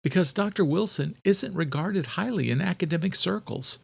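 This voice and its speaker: A confident, sharp-minded woman, as a male narrator puts her on in an audiobook. The pitch is lifted, and the delivery is emphatic and insistent. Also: expressive, normal speed, vocal fry, slightly rough, heavily acted. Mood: reluctant, earnest.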